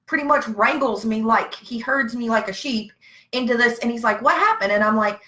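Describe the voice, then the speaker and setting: A woman's voice: a little high, moderate pace, sharp tone. A woman from Virginia with a Southern US accent, chatting and telling stories in a blunt, folksy way. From Virginia, United States. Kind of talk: casual chat, storytelling.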